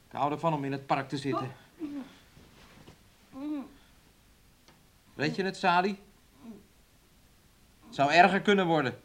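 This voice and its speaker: An actor in a radio play playing a callous, coaxing man who talks with quiet insistence. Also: on the high side, natural voice. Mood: cold, scolding. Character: callous, coaxing man exploiting a sick woman.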